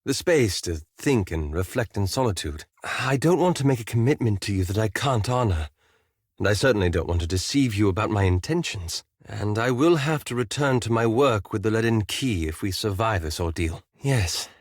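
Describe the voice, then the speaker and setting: An actor playing a reserved, courteous elf adventurer in a video game, speaking formally and articulately in a guarded, hesitant way. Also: male voice, on the low side, animated, moderate pace, no room sound, studio quality. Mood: reserved, earnest. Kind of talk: scripted video game character dialogue.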